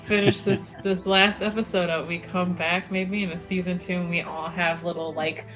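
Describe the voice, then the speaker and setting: A woman's voice: on the low side, moderate pace, music in the background, rough recording. Playful woman player at a game table. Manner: playful and excitable. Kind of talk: casual tabletop chat.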